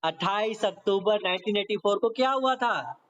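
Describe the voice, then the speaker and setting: A man's voice: high voice, lively intonation, normal speed, a bit bright. A man with a Pakistani accent teaching law in a conversational exam-prep style, explaining step by step with repetition and frequent check-in tags. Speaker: law lecturer. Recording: dull, lo-fi lecture audio.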